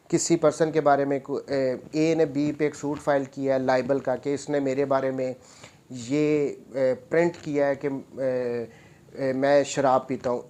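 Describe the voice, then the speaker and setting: Mellow-voiced Pakistani man giving a law lecture. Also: mid-range voice. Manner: didactic, repeats key points for emphasis. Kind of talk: explanatory law lecture mixing in English legal terms.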